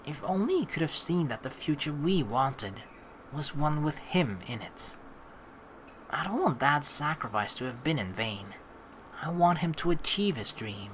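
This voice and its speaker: A let's player reading game text aloud and giving casual, rambling, halting commentary as they think out loud. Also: mid-range voice, expressive, a little hiss or hum.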